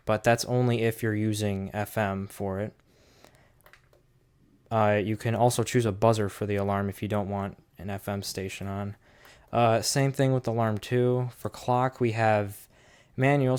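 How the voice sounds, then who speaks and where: A man's voice: medium pitch, a little mellow, clean tone. A man giving a casual, unscripted hands-on product review, thinking aloud as he goes in an informal way.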